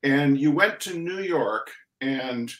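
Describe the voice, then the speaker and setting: A man's voice: medium pitch, expressive, slow pace, fairly bright tone. Exuberant showman host on a video call. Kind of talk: lively video-call interview. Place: home studio room, indoors.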